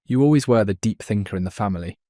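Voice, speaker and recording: A man's voice: on the low side, fast, dark tone, dry recording, studio quality, lightly acted. A man acting in an audio drama as a proud, stiffly formal brother. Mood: relenting.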